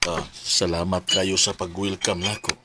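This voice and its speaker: A man's voice: sits low, flat delivery, unhurried, background noise. A man playing an awkward, polite mature boyfriend, hesitant and deferential, in a radio drama. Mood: nervous, polite.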